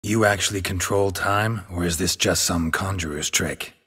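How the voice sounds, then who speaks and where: An actor playing a gruff, guarded monster hunter in a video game, terse and deadpan, with a gravelly voice. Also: male voice, sits low, normal speed, exaggerated voice. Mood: probing, wary. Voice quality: gravelly, husky.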